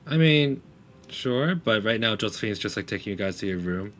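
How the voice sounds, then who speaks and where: A man with a deep voice leads a tabletop roleplaying game. He talks in a low-key, casual way with halting phrasing. Faint noise sits behind him. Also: animated, a bit bright. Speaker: game master.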